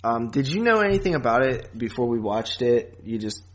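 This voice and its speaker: A man hosting a podcast in a casual, rambling, halting chat style with frequent asides. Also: mid-range voice, very animated. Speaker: podcast host.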